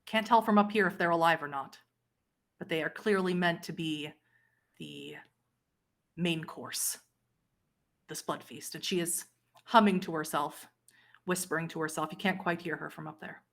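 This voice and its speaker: A woman running a horror tabletop game as game master, narrating in a deliberate, dramatic way. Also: lowish voice. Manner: deliberate, dramatic scene-setting with pauses.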